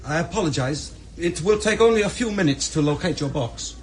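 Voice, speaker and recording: An actor in a radio drama playing a polite, deferential Swiss bank official with a courteous, apologetic manner. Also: male voice, fairly high pitch, lively intonation, fairly quick, a little hiss or hum, natural voice.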